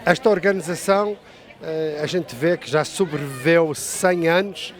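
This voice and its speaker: A man's voice: a little high, a little slow, a faint crowd. A middle-aged man interviewing at a community festival, asking leading questions that usually end with a tag question. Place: outdoor festival.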